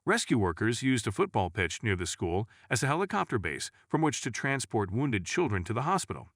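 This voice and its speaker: A man's voice: mid-range voice, big pitch swings, fairly quick, fairly bright tone, dry recording, clean studio sound. A man reading a scripted news report as a narrator.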